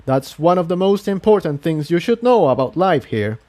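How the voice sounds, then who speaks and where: A man's voice: on the high side, big pitch swings, normal speed, darkish tone, pitched above the performer's own voice. A man voicing a devout, courteous pegasus townsman who explains things earnestly and formally in a fantasy audiobook. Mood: earnest, proud. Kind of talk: acted dialogue in fan fiction audiobook.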